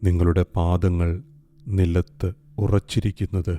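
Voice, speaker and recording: A man guiding a meditation in a calm, soft, hushed way. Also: deep voice, unhurried. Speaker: meditation guide. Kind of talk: slow guided meditation. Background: soft music.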